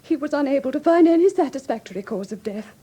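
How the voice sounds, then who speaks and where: A woman's voice: high voice, expressive, quick pace, mellow. An actress playing a refined, anxious young woman in a classic radio drama, with a Received Pronunciation accent and genteel, earnest, precise diction. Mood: subdued, grave. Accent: English Received Pronunciation. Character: refined, anxious young woman recounting her sister's death.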